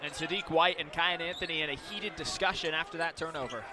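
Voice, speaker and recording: A man with a high voice adds color commentary to a live basketball radio call. Clear arena noise and ball bounces sound behind him. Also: fast. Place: courtside in a large indoor arena. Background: arena ambience, basketball bounces.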